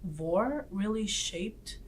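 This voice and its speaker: A young woman from South Asia, speaking in a measured, somewhat hesitant way in a casual discussion. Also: medium pitch, expressive, a little hiss or hum. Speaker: student panelist.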